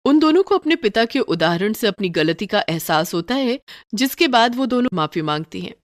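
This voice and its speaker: A woman's voice: medium pitch, very animated, moderate pace, no echo, clean studio sound. A woman storyteller reading scripted narration for a moral story.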